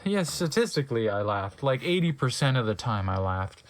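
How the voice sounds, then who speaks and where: A narrator giving a theatrical, comic dramatic reading of fan fiction, breaking off for deadpan asides. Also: medium pitch, normal speed.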